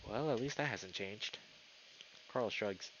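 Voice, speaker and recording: A man's voice: lowish voice, slow pace, fairly bright tone, lo-fi sound, a little hiss or hum. A man live streaming a game, chatting casually and reading the story text aloud, sometimes tripping over words. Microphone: streaming mic with noise filtering.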